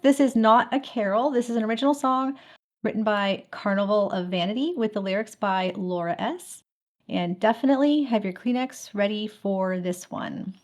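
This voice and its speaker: A woman's voice: medium pitch, moderate pace, a little mellow. A woman hosting an event, giving a casual live introduction. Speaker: event host.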